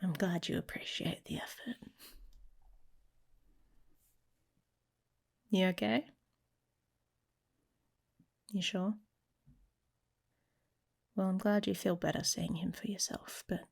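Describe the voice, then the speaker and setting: A woman voicing a protective, teasing werewolf mate in an intimate ASMR romance roleplay, speaking softly and casually, often slipping into a whisper. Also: medium pitch, fairly even intonation, unhurried, creaky, long pauses, slightly rough. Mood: dry, reassuring. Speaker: audio roleplay performer.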